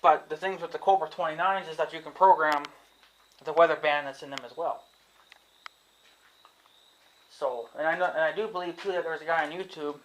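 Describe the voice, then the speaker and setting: A man's voice: fairly high pitch, brisk, pauses often, a little hiss or hum, low-quality recording. A young man, thinking aloud in a casual vlog, unsure of himself and swearing freely. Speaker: vlogger. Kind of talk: rambling casual talk to camera.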